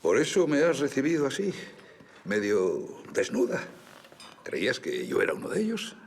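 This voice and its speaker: A prim, disapproving older gentleman. His lowish voice is gravelly and swings widely in pitch, fussy and expressive as in a radio play. Faint noise lies behind him. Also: normal speed. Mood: scandalised. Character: prim, easily scandalised older Spanish gentleman, moralising family friend. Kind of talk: audio drama acting.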